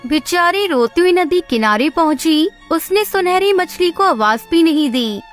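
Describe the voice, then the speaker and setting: A woman narrates a children's story in a very high voice. It is scripted storytelling, with light music playing behind her. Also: expressive, a little slow.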